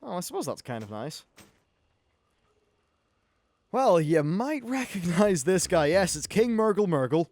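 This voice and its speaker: A man's voice: high voice, big pitch swings, a little slow, pauses often, darkish tone. A man giving casual, off-the-cuff video game commentary as host, chatty and wry, with some swearing.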